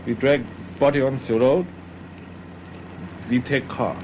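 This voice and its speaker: Actor as a slow, halting foreign killer confessing. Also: male voice, medium pitch, steady tone, lightly acted. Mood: flat, unhappy. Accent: foreign European. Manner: halting, broken English. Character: young immigrant man wearily confessing to murder. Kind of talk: old radio drama acting. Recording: dull, noisy old radio recording.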